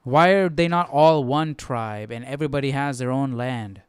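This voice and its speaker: A man's voice: medium pitch, moderate pace, clean tone, no room sound, studio quality. A man giving casual, off-the-cuff commentary as host of a Bible study, questioning and conversational with repeated phrases.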